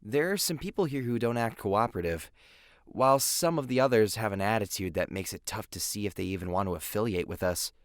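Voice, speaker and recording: A man's voice: lowish voice, a little fast, no echo, lightly acted. Man playing a cool, wisecracking youth, dry recording. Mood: reassuring. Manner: teasing, sardonic, foul-mouthed. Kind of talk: anime-style audio drama acting.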